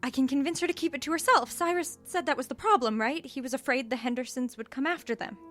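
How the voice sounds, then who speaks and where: A woman's voice: high-pitched, fast talker, a bit bright. A woman playing a naive, pleading young wife in a horror audio drama, with a girlish, anxious manner. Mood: desperate pleading. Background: background music.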